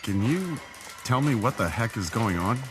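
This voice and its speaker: A man's voice: medium pitch, very animated, normal speed, music in the background, lightly acted. A man playing a wry, plain-spoken sidekick in an audio drama, talking casually. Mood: wry, puzzled.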